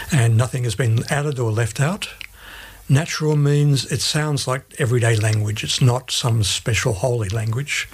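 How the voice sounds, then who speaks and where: Interview guest: Australian man, middle-aged, slightly rough-edged voice, plain-spoken and methodical explainer. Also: medium pitch. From Australia, grew up in Sydney. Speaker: interview guest, Bible translation advisor.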